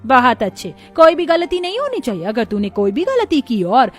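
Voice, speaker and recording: Lady doing a nasty scornful middle-aged mother-in-law for a cartoon, bossy, high voice, music behind. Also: huge pitch swings, normal speed, a bit bright, heavily acted. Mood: scornful, commanding. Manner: scolding, taunting, domineering. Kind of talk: cartoon moral story acting.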